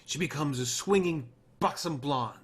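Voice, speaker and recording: A man's voice: normal speed, lightly acted. An actor playing a brash, volatile, profane male TV producer in a screenplay table read, glib one moment and bitter the next. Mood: glib, joking. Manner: glib pitching, swearing when frustrated.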